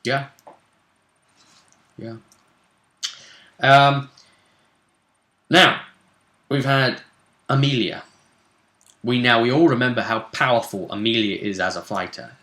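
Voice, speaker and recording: A man hosts a casual chat about a tabletop role-playing game. His voice is medium in pitch and sharply bright. The sound is roomy, with noticeable echo around it. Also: steady tone, takes it slowly, pauses often. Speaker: host recapping a tabletop role-play game.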